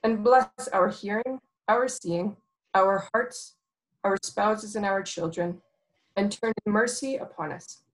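A woman on a video call giving a slow, solemn closing prayer and its translation, reading from a page. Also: mid-range voice, poor audio. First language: not Arabic. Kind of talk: recited prayer with English translation. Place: home office with framed art.

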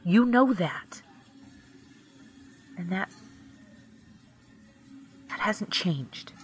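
A woman playing a weary, caring but guarded ex in an intimate audio drama, soft and hesitant. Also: medium pitch, lively intonation, very slow. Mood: sad, resigned.

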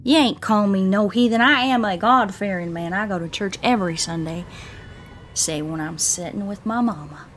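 A woman's voice: medium pitch, moderate pace, slight background noise. A woman livestreamer with a Southern US accent, joking playfully in a folksy drawl as she comments on a game. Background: game sounds.

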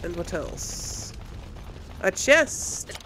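A woman's voice: on the low side, big pitch swings, drawn-out words. A woman doing casual let's play commentary, chattily thinking aloud as she plays. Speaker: gaming video host. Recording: loud game noise behind voice. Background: fantasy video game sound.

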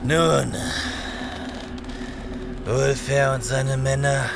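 An actor playing a proud, weary young barbarian warrior in an audio drama, speaking in a heavy, declamatory way. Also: male voice, medium pitch, steady tone, very slow, gravelly, noisy background. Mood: weary, grim.

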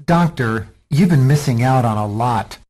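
A man's voice: mid-range voice, expressive, a little slow, a little mellow. A man playing an earnest, defiant activist rebel in a radio drama, arguing insistently. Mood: urgent.

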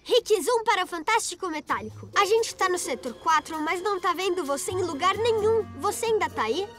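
A brave little boy rescue motorbike from a cartoon, eager and commanding. The voice is very high and youthful, with clear music behind it. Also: a little fast. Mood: determined. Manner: earnest, commanding, energetic.

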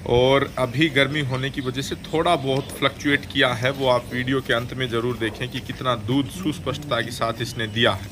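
A man narrating a voice-over over dairy milking footage, formal and measured, often pausing to rephrase. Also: mid-range voice, steady tone, noisy background. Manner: formal, measured, with frequent hesitations. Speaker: dairy farming video host.

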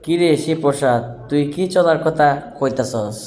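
A man's voice: on the high side, normal speed, darkish tone, slight background noise, natural voice. A man playing a vain, scheming middle-aged cartoon father, blustering in a roomy recording. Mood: musing. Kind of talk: comic cartoon voice acting. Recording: roomy, echoing sound.

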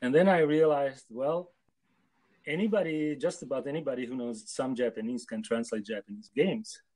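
A man's voice: mid-range voice, darkish tone, poor audio. Older man, hesitant video-call interview answers. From Czechoslovakia. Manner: hesitant, with many pauses and fillers. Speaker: guest translator. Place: home room with photos on wall.

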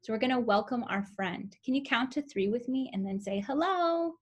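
A young woman reading a picture book aloud to toddlers as a preschool teacher, with simple, expressive phrasing and questions for the listeners. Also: a little high, normal speed, breathy edge. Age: young adult. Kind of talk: children's story read-aloud.

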